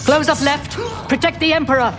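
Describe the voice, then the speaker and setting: A woman playing a brisk, authoritative guard captain in a fantasy video game, with a firm, businesslike manner. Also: sits high, fairly even intonation, over music, natural voice. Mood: commanding.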